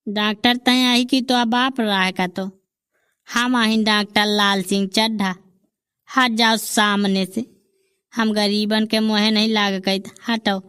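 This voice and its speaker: A woman voicing a pompous male quack doctor in a village cartoon, with a Bundelkhandi accent and self-important, drawn-out boasting. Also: medium pitch, level tone, a bit bright, clean tone, no echo, studio quality. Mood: bossy.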